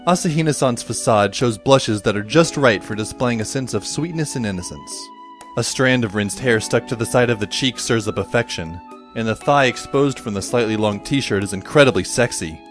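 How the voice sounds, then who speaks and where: Man acting teen boy narrator, medium pitch, dry, wry, sardonic, long-suffering, audio drama, faint music. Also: normal speed, natural voice. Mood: admiring, dry.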